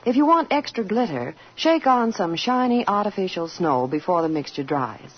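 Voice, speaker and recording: A woman's voice: medium pitch, very animated, normal speed, slight background noise. A woman reading a scripted 1940s radio commercial, giving instructions. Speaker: commercial announcer. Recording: dull 1940s radio recording.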